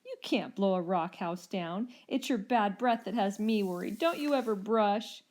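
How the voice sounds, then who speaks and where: A woman voicing a cheeky young male dinosaur in a storybook, squeaky, taunting and defiant as he calls out. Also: normal speed, a little mellow, close to an everyday voice. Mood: mocking. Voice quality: high-pitched, squeaky. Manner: taunting, calling out.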